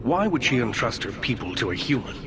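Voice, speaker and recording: An actor playing a stern, warning authority figure in a fantasy video game. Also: male voice, mid-range voice, sing-song, brisk, natural voice. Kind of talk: video game cutscene acting. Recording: very noisy game capture.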